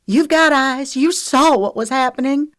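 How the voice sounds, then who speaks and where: A furious young woman fighting back, acted by a female audiobook narrator. Her voice is pushed high and loud, defiant and frightened at once. The sound is dry. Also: a little slow, dry recording. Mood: defiant, outraged. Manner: shouting and screaming, defiant. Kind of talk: audiobook character acting.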